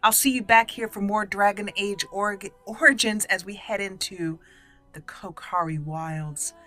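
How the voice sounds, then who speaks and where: A woman's voice: mid-range voice, fairly even intonation, unhurried, bright, forceful tone. A woman hosting a casual game walkthrough, thinking aloud in short fragments as she plays. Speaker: gaming channel host.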